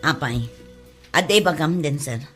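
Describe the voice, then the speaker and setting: A woman with an Ilocano accent playing a weary, scolding middle-aged mother in an audio drama, with a husky voice. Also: deep voice, a little slow, over loud music. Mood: evasive.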